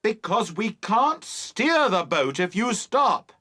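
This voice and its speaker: Man acting an exasperated boater, raised high and loud. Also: sing-song, slow pace, dry recording, studio quality, full character voice. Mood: strained patience. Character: exasperated male boater shouting instructions to girls.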